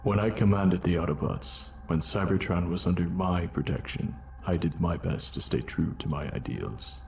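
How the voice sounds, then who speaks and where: A man voicing a weary, noble robot war commander in a cartoon fan dub, grave, measured and earnest. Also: sits low, gravelly, a little mellow, faint music behind. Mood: solemn. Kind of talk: dramatic first-person narration in a cartoon fan dub.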